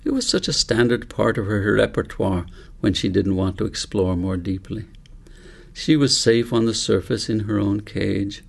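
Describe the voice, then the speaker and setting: A man narrating a children's audiobook in a measured, deliberate storytelling manner. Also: lowish voice, creaky, breathy edge. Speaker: author reading own book aloud.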